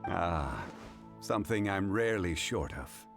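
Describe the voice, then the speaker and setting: A man voicing a calm, learned and modest gentleman who speaks formally and courteously. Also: sits low, unhurried, creaky, full character voice. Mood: composed. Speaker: funeral parlor consultant. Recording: game dialogue over music.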